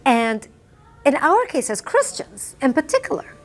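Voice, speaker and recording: Middle-aged woman from Lebanon, Arabic native speaker, passionate interview testimony, slightly higher voice. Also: very animated, a little slow. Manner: emphatic, repeats phrases for effect. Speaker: interview guest. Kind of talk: impassioned testimony.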